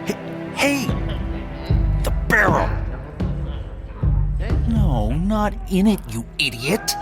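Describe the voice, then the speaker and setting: A man's voice: high voice, big pitch swings, drawn-out words, pitched above the performer's own voice, full character voice. A man voicing a jumpy, bossy male rogue who gives urgent, hissed orders. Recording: music and crowd noise behind.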